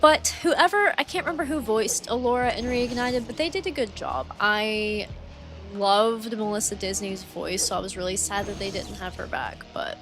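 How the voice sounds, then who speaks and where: A woman's voice: mid-range voice, normal speed, a bit bright. Female game streamer, loose chatty delivery, slightly dried-out voice, game music underneath. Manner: chatty, rambling, frequent "like" and "um". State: voice getting dry and worn from long talking. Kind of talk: casual livestream chat while playing.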